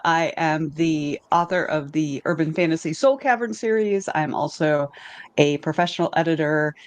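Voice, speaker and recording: A woman's voice: deep voice, no room sound. Frank woman on a dry mic. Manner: frank, candid, casually swears. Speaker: author and editor. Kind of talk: casual panel chat.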